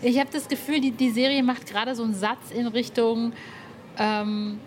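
A woman's voice: on the high side, level tone, moderate pace, slight background noise. A woman interviewing in a casual, engaged way, searching for words as she builds her point. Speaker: podcast interviewer.

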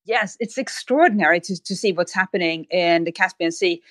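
A woman's voice: lowish voice, normal speed, fairly bright tone, dry recording, studio quality. A woman speaking as an expert guest in an interview, explaining carefully with hesitant phrasing and repeated words. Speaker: expert guest, writer and analyst.

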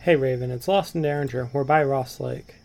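Man playing an easygoing caller with gravelly creak. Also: medium pitch, steady tone, a little fast, darkish tone, close to an everyday voice. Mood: casual. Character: easygoing male friend calling a radio station. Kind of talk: casual dialogue in an audio drama.

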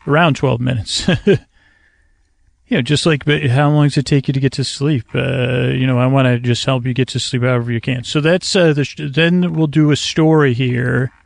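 Creaky-voiced man rambling soothingly. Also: mid-range voice, steady tone, dry recording. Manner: meandering, tangent-prone, halting with self-corrections; soothing, lulling delivery. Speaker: podcast host. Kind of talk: rambling sleep-podcast monologue. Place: outdoors, in a kayak on a river.

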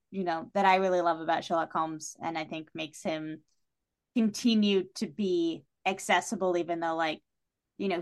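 A woman with a medium-pitched voice talks as a guest on a literary podcast. She is analytical and thoughtful, building her points in long, casual tangents.

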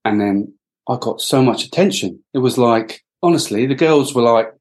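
A man's voice: medium pitch, expressive, moderate pace. A middle-aged man from Wales, a podcast guest, talking reflectively with many fillers and restarts. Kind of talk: reflective interview talk.

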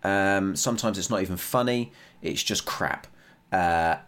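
A man with a low voice and a British accent talks off the cuff, like a casual audio diary. He is candid and self-deprecating about his writing, and swears freely. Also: unhurried, fairly bright tone, smooth. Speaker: podcast writer and creator.

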